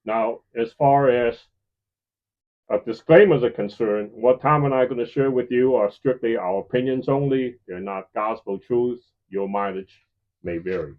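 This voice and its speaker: A man's voice: medium pitch, normal speed. A man hosting a hi-fi video channel, presenting to viewers in a semi-scripted way. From lives in the Chicago area, United States. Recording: slightly dull, lo-fi voice recording.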